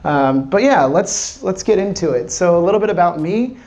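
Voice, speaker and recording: A man's voice: high-pitched, lively intonation, moderate pace, a little mellow, a little hiss or hum. An American man from Washington, D.C., a startup founder giving a casual live presentation to a small audience, talking loosely with lots of fillers and casual swearing. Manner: loose and chatty, many fillers, swears freely.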